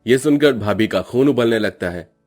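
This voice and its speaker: A man's voice: medium pitch, lively intonation, normal speed, a little mellow, soft background music. A man narrating a cartoon family story in a dramatic, scripted storytelling style.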